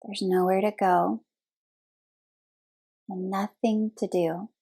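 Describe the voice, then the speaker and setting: Woman, yoga nidra instructor, guided meditation, very slow pace, flat steady intonation, soothing, long pauses. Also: medium pitch. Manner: soothing, unhurried, short phrases with long pauses.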